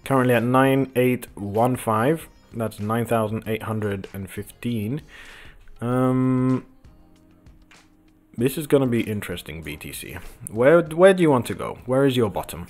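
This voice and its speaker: Croaky just-woken man rambling to viewers. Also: mid-range voice, expressive, a little slow, darkish tone, faint music behind. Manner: rambling, filler-heavy, talks to viewers and the chart. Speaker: crypto livestream host. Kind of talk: casual livestream chat over charts. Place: home office with window open.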